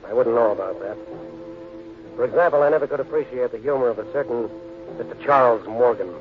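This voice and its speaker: A man's voice: medium pitch, close to an everyday voice. An actor playing a dry, wry American criminal lawyer who narrates his case in 1940s radio detective style. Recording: tinny old radio with music.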